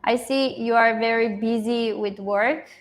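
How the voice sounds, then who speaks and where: A woman's voice: fairly high pitch, fairly even intonation, measured pace. A woman coaching a group informally on an online call in an encouraging, affirming manner.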